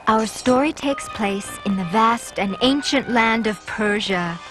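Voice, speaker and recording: A woman's voice: fairly high pitch, a little slow, music in the background, lightly acted, poor audio. A woman reading scripted fairy-tale narration.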